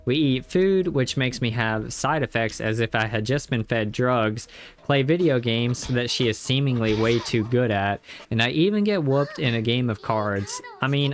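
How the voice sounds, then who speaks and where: A man narrating a casual scripted recap of a video game, with tongue-in-cheek exaggeration. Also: mid-range voice.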